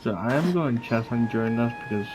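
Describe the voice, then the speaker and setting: A man's voice: medium pitch, animated, a little fast, music in the background. A man giving informal, rambling commentary while streaming a game. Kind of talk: casual gameplay commentary.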